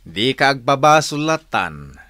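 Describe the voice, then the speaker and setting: A man's voice: medium pitch, sing-song, unhurried, bright, forceful tone, dry recording. A man playing a scolding village husband in an Ilocano radio drama, speaking with an Ilocano accent in a lecturing way, with faint music in a dry studio.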